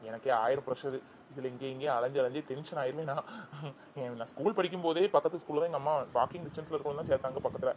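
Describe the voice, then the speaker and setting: A man's voice: mid-range voice, fairly even intonation, rapid-fire, dull audio, noisy background, close to an everyday voice. A young man playing an anxious father who calls out over and over for his child. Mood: reluctant. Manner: frantic, repeats name calls. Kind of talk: Tamil drama acting.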